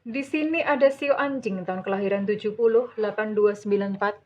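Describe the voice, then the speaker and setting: A woman's voice: mid-range voice, fairly quick, lo-fi sound. Indonesian woman doing a tarot reading. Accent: Javanese-influenced Indonesian. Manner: soothing, addresses listener as dear, calls herself Bunda. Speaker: tarot reader. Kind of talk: general tarot reading to viewers.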